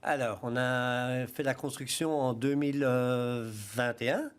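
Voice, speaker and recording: A man with a Belgian French accent, a guest in a studio interview, explaining his project in a relaxed way, often starting with "alors" and "ben". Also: medium pitch, slow, clear, resonant tone. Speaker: guest, founder of a self-build training project.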